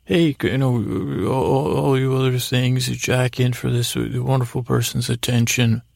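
Very gravelly man, halting and meandering. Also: medium pitch, level tone, no room sound, clean studio sound. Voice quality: gravelly, creaky. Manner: meandering, halting, repeats and trails off mid-thought; silly, absurd asides. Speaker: sleep podcast host. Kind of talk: rambling bedtime monologue.

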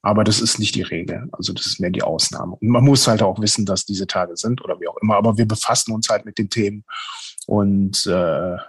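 A man with a husky voice explaining things informally as an interview guest. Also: on the low side, steady tone, brisk, no room sound. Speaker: paranormal investigator, interview guest.

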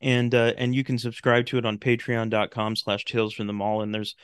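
A man with a smooth, clear voice at a middle pitch, talking casually as a podcast guest. His explanations ramble and halt, and the sound is dry and close. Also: monotone, a little fast, no room sound. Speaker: podcast guest, talk show host and radio drama maker. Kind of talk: casual interview chat.

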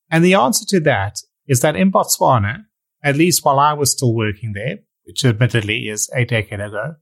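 A man's voice: mid-range voice, fairly bright tone. South African man hosting a podcast. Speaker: podcast host. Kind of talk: scripted intro and interview questions.

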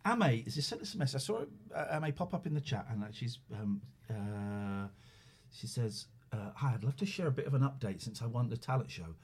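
A man's voice: medium pitch, animated, fairly quick, a little mellow, husky. A man co-hosting a live show, joking and rambling through casual chat. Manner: jokey, teasing, rambling.

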